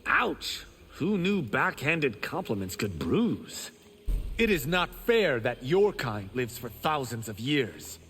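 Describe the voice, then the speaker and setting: An actor playing a cocky, witty young warrior monk in a video game, speaking with cheeky confidence. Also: male voice, medium pitch, sing-song, slow pace, fairly bright tone, in a large hall, slight background noise, exaggerated voice. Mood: cocky, wry. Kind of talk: dramatic video game dialogue.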